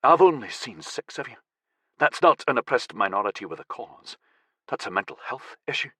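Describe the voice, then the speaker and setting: A man voicing a terrified, furious young man held captive, shouting and swearing in panic. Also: medium pitch, lively intonation, normal speed, breathy edge, dry recording, clean studio sound. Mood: scornful.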